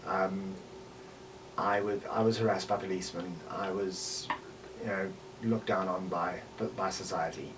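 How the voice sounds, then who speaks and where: A South African man in his forties reflecting on personal experience in a halting way, with repeated words and pauses. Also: deep voice, fairly even intonation. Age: 40s. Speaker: podcaster and voice actor. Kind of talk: personal reflection, interview-style talk. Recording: distant mic with faint background noise.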